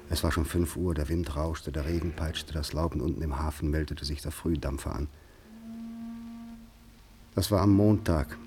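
An actor narrating a 1950s radio drama as a grave, brooding storyteller, in a measured, literary and suspenseful manner. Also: male voice, a bass voice, a little mellow, husky, full character voice.

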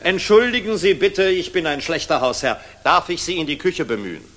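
An eager, polite host in a 1960s radio play. His voice is fairly high and bright, moving in lively swings, and a little flustered. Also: brisk. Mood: flustered, courteous. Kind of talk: 1960s German radio drama acting.

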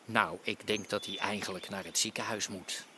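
An actor in an audio drama playing a greedy, vengeful crime boss who threatens, sneers and demands. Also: male voice, on the low side, fairly even intonation, normal speed, sharp tone, a little hiss or hum. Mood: cold menace.

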